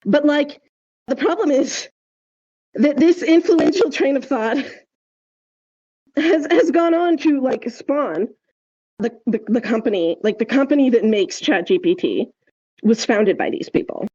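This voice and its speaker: A woman's voice: sits high, lively intonation, unhurried, pauses often, dark tone, breathy edge, highs cut off. A woman hosting a podcast, explaining things casually and emphatically, peppering her talk with filler words and check-in questions. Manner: emphatic, hedging with frequent "like" and "right?". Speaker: podcast host.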